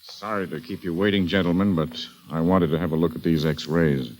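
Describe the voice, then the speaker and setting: An actor playing a grave, courteous doctor in a 1940s radio drama, with a hesitant, formal manner. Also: male voice, low voice, steady tone, fairly quick, lightly acted. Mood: grave, reluctant. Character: grave, reluctant, courteous doctor breaking bad news. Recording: vintage radio broadcast.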